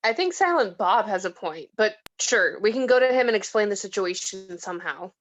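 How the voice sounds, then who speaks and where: A woman's voice: mid-range voice, lively intonation, brisk, dry recording, lo-fi sound, close to an everyday voice. A woman reading the part of a skeptical, reluctant heroine with a dry manner in a film script read-through. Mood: dry, resigned.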